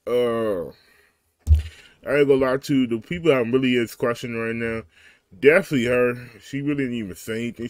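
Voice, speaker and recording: A man's voice: medium pitch, no echo. A man hosting a reaction video, talking casually and slangily straight to his viewers. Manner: laid-back, slangy, speaks straight to viewers with frequent "y'all".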